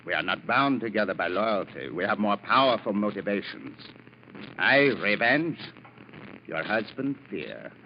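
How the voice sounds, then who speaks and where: An actor playing a bitter, vengeful, menacing schemer in old radio drama, with a theatrical, sardonic, deliberate delivery. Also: male voice, lowish voice, very animated. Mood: bitter menace. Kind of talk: 1940s-50s radio drama acting. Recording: muffled, very noisy old radio recording.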